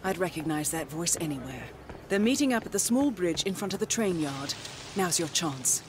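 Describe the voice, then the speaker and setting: An actress playing a composed intelligence handler in a video game, briefing an agent in measured, articulate phrases. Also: female voice, on the low side, animated, noisy background, close to an everyday voice. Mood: composed, alert. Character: composed female intelligence handler guiding an assassin. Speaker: mission handler. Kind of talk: scripted video game dialogue.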